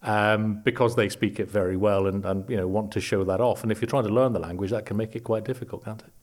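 Man hosting a relaxed interview. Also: lowish voice, quick pace. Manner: relaxed, friendly questioning with frequent restarts. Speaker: podcast host. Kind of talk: conversational interview.

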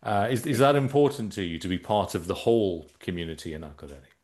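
A man hosting a radio interview, speaking in a clear, measured way. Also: sits low, animated, darkish tone. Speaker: radio host. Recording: radio broadcast with music bed.

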